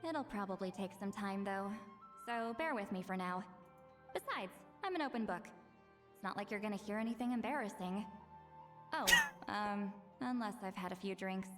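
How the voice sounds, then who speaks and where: A woman's voice: medium pitch, expressive, normal speed, close to an everyday voice. A woman playing a young, teasing shaman in a video game, breezy in manner, with music behind her.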